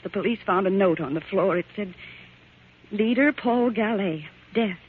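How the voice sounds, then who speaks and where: A woman's voice: medium pitch, moderate pace, natural voice. An actress playing a fretful, distraught young woman in 1940s American radio drama, emphatic and melodramatic. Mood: suspicious, troubled. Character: anxious young Viennese woman, distraught over her murdered uncle. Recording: tinny, noisy 1940s radio recording.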